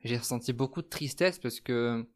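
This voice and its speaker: Young male guest from France, soft and thoughtful, halting delivery, mid pitch. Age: 20s. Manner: soft-spoken, hesitant, thoughtful. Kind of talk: reflective personal testimony.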